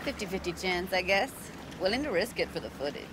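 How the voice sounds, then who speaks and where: A playful, self-assured young techie woman played by an actress in a cinematic video game. She talks in laid-back slang with a teasing edge, and clear watery ambient noise runs behind her. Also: lowish voice, expressive, quick pace, natural voice. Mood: teasing, brisk. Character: playful, self-assured young female braindance editor and diver.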